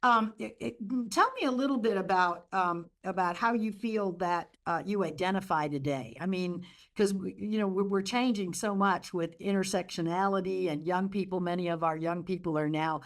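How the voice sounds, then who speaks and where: Older woman hosting, lowish voice. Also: moderate pace. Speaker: interview host. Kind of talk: conversational interview. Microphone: video call.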